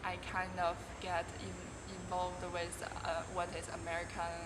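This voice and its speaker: A woman's voice: fairly low pitch, completely flat delivery, measured pace. A young Chinese woman, a college volleyball player, answering interview questions in careful non-native English with frequent restarts. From China. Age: 20s. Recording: distant voice in a reverberant room with clear background noise.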